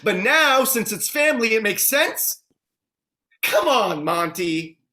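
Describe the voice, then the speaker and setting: A man voicing a guilt-ridden police officer with a high, bright, tense voice. He pleads frantically, his pitch leaping about as he reads the scene. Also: sing-song, slow pace, full character voice. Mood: defensive. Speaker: actor in a staged play reading. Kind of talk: staged play reading.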